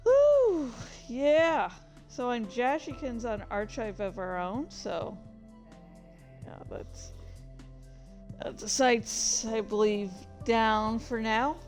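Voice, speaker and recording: A woman streamer chatting casually about her own writing in a halting, rambling, self-deprecating way. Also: fairly high pitch, very animated, darkish tone, faint music behind. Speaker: streamer and fan fiction author. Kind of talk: casual livestream chat.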